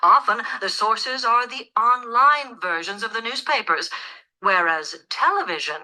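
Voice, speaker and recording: A woman delivering a scripted academic lecture in a measured, formal, explanatory manner. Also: fairly high pitch, lively intonation, bright, forceful tone, rough recording. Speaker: lecturer in a listening test.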